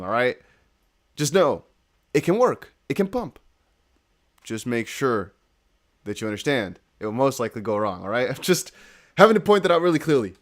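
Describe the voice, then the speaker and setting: Dutch guy doing crypto livestream, accented english, chatty and emphatic, voice goes up and down a lot. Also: mid-range voice. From the Netherlands. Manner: chatty, emphatic, repeats points, addresses viewers directly. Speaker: crypto news streamer. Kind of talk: casual livestream commentary.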